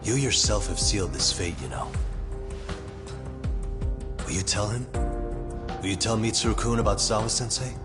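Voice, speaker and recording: A male actor plays a determined detective in a video game drama. His voice sits low, terse and dry, with music clearly behind it. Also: moderate pace, pauses often, close to an everyday voice. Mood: grim, resolute.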